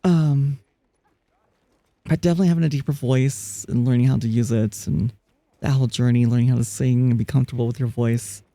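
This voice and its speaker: A chatty streamer talking casually through a live game, often breaking into laughter. The voice is gravelly and mellow, and it is caught dry and close with no room sound. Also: mid-range voice, fairly even intonation, brisk, no echo. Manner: chatty, laughs often, reads chat aloud; frequent restarts and fillers. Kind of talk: casual live-stream chat while gaming.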